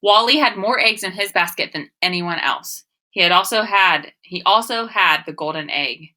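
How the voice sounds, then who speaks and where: A young adult woman reading aloud and teaching young children, expressive and sing-song, often addressing them with questions. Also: medium pitch, moderate pace. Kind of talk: read-aloud story and phonics lesson for children.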